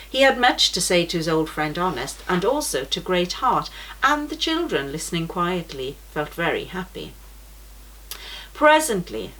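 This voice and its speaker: Gentle woman reading a children's story. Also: fairly low pitch, low-quality recording.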